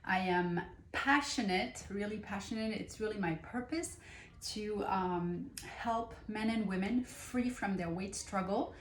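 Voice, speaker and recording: A woman from France with a French accent hosts a cooking demonstration, talking with unhurried enthusiasm and many pauses and fillers. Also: medium pitch, a little hiss or hum. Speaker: cooking show host and nutrition coach. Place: kitchen. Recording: echoey kitchen room.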